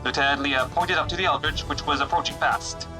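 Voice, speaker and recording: A man's voice: a little high, steady tone, brisk, poor audio. A man narrating a sci-fi radio serial in a scripted style, with music behind him.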